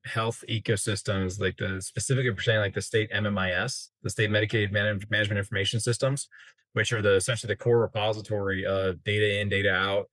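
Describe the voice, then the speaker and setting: American male expert interview guest, lowish voice, halting and wordy delivery. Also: little pitch movement, fairly bright tone, dry recording. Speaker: interview guest, healthcare industry executive. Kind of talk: informal expert discussion.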